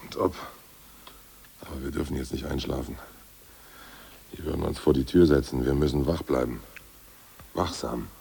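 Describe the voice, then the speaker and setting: A husky, sarcastic ousted party boss. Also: male voice, rumbling low, steady tone, moderate pace, long pauses, mellow, full character voice. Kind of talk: 1980s radio drama acting.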